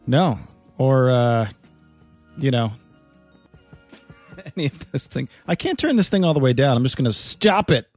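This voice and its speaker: A man's voice: mid-range voice, big pitch swings, a little slow, long pauses, darkish tone, faint music behind. Rambling man co-hosting a comedy podcast. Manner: rambling, lots of fillers and restarts, crude jokes. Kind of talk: casual banter podcast. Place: apartment room.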